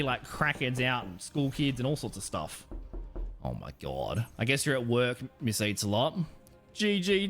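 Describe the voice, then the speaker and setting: A man's voice: mid-range voice, fairly bright tone. A man streaming a game live, chatting casually with viewers and giving rambling, blunt commentary full of slang and some swearing.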